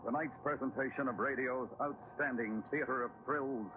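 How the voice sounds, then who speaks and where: A man's voice: mid-range voice, fairly even intonation, moderate pace, close to an everyday voice. A man playing a solemn, dramatic announcer introducing an old radio suspense play. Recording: tinny old radio broadcast, loud music.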